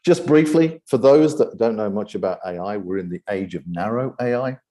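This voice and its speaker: A British man with a low voice presents to an audience as the event's host. His tone is mellow and relaxed. He speaks off the cuff, stalling now and then on repeated words before pushing on. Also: normal speed. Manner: hesitant, often repeating words before going on. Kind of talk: unscripted presentation talk.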